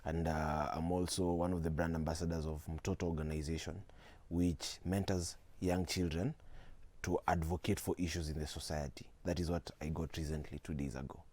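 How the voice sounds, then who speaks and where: A man's voice: a bass voice, flat delivery, darkish tone, no echo. A nineteen-year-old man with a Kenyan accent, a guest speaking earnestly and steadily in a studio interview. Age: teens. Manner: earnest, measured, mixing in Swahili slang.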